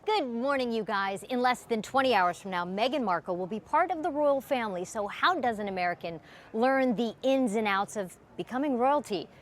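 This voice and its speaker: A woman TV reporter presenting an upbeat live feature, chatty and quick to laugh. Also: fairly high pitch, expressive.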